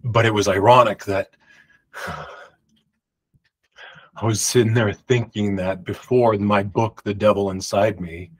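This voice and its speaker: A man in his forties telling a rambling personal story to camera in a room at home, talking emphatically and projecting loudly. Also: fairly low pitch, steady tone, measured pace, long pauses, husky. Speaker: vlogger. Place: home room with pictures on the walls. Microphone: large studio mic beside him.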